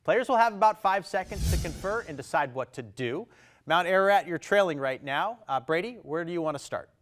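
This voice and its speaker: This is a man hosting a quiz show in a TV studio, speaking with a Maine accent. His voice sits a little high, and he moves through questions at a brisk, upbeat clip, tossing off quick reactions to each answer. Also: lively intonation, fairly bright tone. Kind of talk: reading quiz questions on air. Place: television studio.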